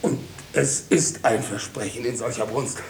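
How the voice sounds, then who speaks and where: An actor playing a passionate, guilt-ridden husband and farmer in a theatrical 1950s German radio drama. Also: male voice, medium pitch, unhurried, natural voice. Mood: impassioned. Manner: impassioned, theatrical. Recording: echoey, faintly hissy 1950s radio recording.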